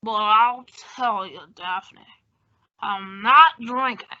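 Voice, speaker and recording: A woman's voice: medium pitch, takes it slowly, highs cut off, natural voice. A woman playing a shady, smug, boozy male con-man doctor in an old-time radio comedy. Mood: scheming, wheedling.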